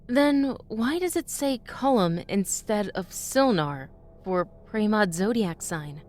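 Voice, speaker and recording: An actress playing a determined, inquisitive devotee of a trickster god in a fantasy audio drama, speaking earnestly and directly. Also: female voice, medium pitch, animated, takes it slowly, darkish tone. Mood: uneasy. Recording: music bed under the voice.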